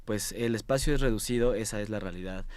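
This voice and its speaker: Low-voiced Mexico City man, hesitant guest. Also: fast, a little mellow, dry recording. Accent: Mexico City Spanish. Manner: hesitant, repeats words, tags phrases with "¿no?". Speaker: interview guest, music writer and editor. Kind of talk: casual radio-style interview.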